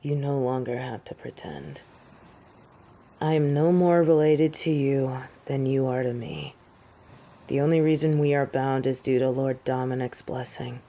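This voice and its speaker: A woman's voice: rumbling low, a little slow, mellow, slight background noise. A woman playing a proud, imperious angel in a fantasy audio drama, with formal, solemn and haughty diction. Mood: guarded. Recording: roomy echo, distant from the mic.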